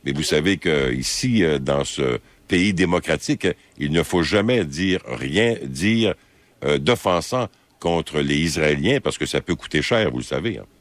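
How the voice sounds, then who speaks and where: A man with a Quebec French accent hosting an overnight phone-in radio show, speaking slowly and hesitantly with drawn-out fillers. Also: very deep voice, lively intonation, no room sound. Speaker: overnight radio call-in host. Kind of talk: late-night phone-in talk radio. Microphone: studio microphone.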